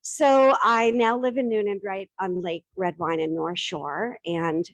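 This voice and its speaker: A woman's voice: medium pitch, steady tone, measured pace, no room sound. Chatty middle-aged woman, dry close sound. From Boston area. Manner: chatty, self-deprecating, asks the audience questions. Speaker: startup pitch presenter. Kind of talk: casual public talk to a live audience.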